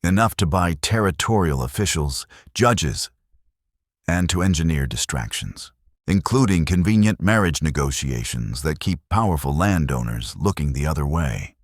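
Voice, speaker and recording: A man reading a steady, practical cowboy in a Western storybook, plain-spoken and measured. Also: very deep voice, no room sound, studio quality. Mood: steady, cautioning.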